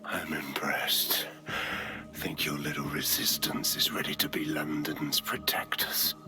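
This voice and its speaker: A man playing a weary, menacing military villain in a game cutscene, speaking in a grim, hushed and breathy way. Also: rumbling low, flat delivery, measured pace, whispered. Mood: weary contempt. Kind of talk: dramatic game cutscene acting. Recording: dramatic music behind the voice.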